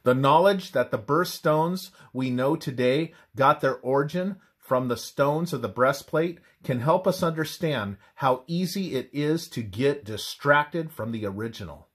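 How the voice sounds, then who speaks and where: A man reads a scripted religious text aloud in a measured, deliberate manner, pausing between phrases. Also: medium pitch, fairly bright tone, husky. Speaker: reader of a religious presentation. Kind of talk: scripted devotional narration, read aloud.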